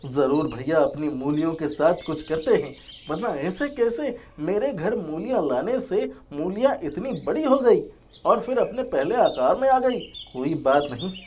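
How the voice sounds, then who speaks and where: Actor as resentful young village farmer, sulky, complaining, animated pitch, audio story, birds behind. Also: slight background noise, natural voice.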